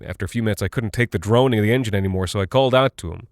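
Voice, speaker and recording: Man acting as American cargo pilot, lowish voice, weary, rattled, chatty, confiding, halting, audio-drama log, very dry recording. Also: no echo, close to an everyday voice. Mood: uneasy, confiding. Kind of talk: first-person audio drama monologue, audio log.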